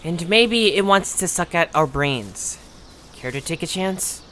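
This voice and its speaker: A man's voice: on the high side, lively intonation, measured pace, pushed and bright, a little hiss or hum. A man voicing a bossy, arrogant young adventurer in an audio drama, sarcastic and scornful in delivery. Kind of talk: animated audio drama acting.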